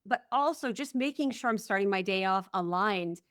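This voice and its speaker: A woman's voice: medium pitch, expressive, normal speed, no echo, clean studio sound. A woman hosting a podcast, speaking earnestly and reflectively, with frequent affirming replies. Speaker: podcast host. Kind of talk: conversational podcast interview.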